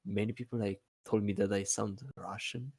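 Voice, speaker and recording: A man who is not a native English speaker, chatting casually in a halting way with repeated words and many fillers. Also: lowish voice, fairly even intonation, vocal fry, a little mellow, slightly rough, dry recording. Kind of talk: casual hesitant chat.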